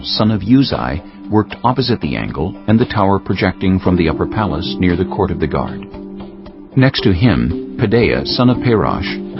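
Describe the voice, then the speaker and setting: A man reading scripture as an audiobook narrator, solemn and measured, with deliberate pauses. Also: low-pitched, highs cut off. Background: prominent background music.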